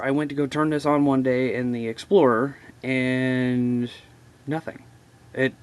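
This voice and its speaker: A man casually showing his radio gear at home, rambling in a folksy way and talking straight to his viewers. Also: medium pitch. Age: adult, married father. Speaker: radio hobbyist vlogger. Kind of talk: casual show-and-tell vlog. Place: indoors at home.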